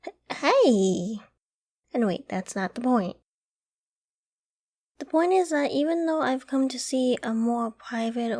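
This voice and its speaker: A woman playing a caring, affectionate and gently teasing girlfriend in an ASMR roleplay, with a coaxing, playfully flustered manner. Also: on the high side. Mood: fond, flustered.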